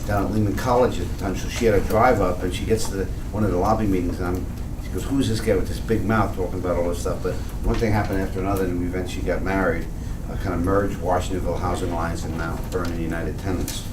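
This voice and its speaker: Guy giving a workshop talk, lowish voice, loud and explaining, echoey room, lots of noise. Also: fairly even intonation, fast. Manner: loud, explanatory, with frequent restarts. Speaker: tenant organization director. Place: community meeting room. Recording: echoey room, distant mic, noisy.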